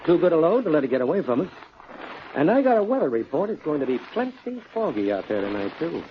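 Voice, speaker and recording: An actor playing a cool, calculating gang boss in an old radio crime drama, smug and commanding. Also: male voice, on the high side, sing-song, fast talker. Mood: scheming. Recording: dull old radio recording, faint noise.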